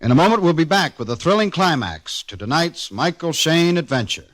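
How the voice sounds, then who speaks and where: A man's voice: fairly high pitch, animated, brisk. A man announcing a radio show in a dramatic 1940s broadcast style. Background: loud brass music.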